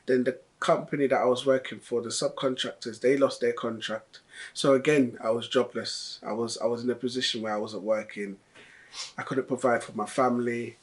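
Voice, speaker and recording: A young man talks through his story as an interviewee. He is earnest and a little halting, often stopping to restart or repeat words. His pitch sits in the middle range for a man. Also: fairly even intonation. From London. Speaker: delivery driver. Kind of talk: interview testimony.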